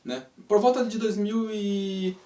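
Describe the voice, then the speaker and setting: A man's voice: high-pitched, fairly even intonation, takes it slowly, warm tone. Brazilian man talking in a roomy space. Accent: Brazilian Portuguese. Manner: rambling, rhetorical questions, frequent tag questions. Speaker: channel host, English teacher. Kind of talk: casual spoken monologue. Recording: roomy sound, mic at a distance.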